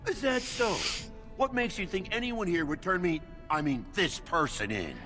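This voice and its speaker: An actor playing a surly, scornful dwarf thief in a fantasy game, coarse and taunting. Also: male voice, high-pitched, very animated, moderate pace, bright, forceful tone, soft background music, exaggerated voice. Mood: defiant, scornful.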